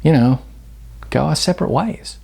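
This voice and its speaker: A man's voice: on the high side, normal speed, gravelly, darkish tone, husky, pitched above the performer's own voice. A man voicing an evasive, apologetic young boyfriend, a casual talent agent in a novel.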